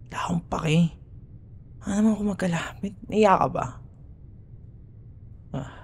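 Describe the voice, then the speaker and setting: A man's voice: sits high, gravelly, slight background noise, full character voice. A man with a Filipino accent playing a grumbling, teasing young school rival who secretly cares, in a sarcastic, casual ASMR boyfriend roleplay. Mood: flustered, annoyed. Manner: sarcastic, casual bickering with sighs and murmured asides.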